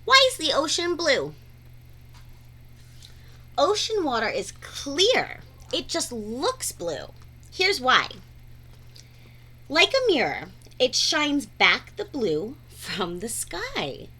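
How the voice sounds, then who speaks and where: A woman reading a rhyming children's picture book aloud in an expressive, deliberate, sing-song way. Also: high-pitched. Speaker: read-aloud storyteller.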